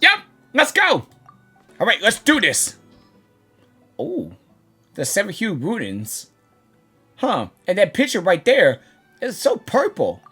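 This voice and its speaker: A woman's voice: mid-range voice, huge pitch swings, slow pace, long pauses, bright, forceful tone, husky. A woman streamer giving casual, rambling reactions to a game as she plays, often repeating her words. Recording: game music behind voice.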